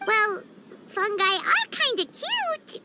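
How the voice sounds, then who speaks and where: A woman's voice: very high-pitched, very animated, slow, heavy background noise, heavily acted. A woman voicing a small, bubbly, childlike fairy companion in a video game, chirpy and eager, with music behind her. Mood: cheerful, helpful. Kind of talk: animated video game dialogue. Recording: background music under the voice.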